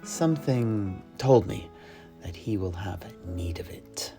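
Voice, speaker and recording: A man playing a tavern keeper priest in a fantasy tale, recounting his adventures with deliberate, theatrical phrasing and hushed emphases. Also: on the low side, animated. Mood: wry, knowing. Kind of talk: in-character fantasy storytelling. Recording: music behind the voice. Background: plucked guitar music.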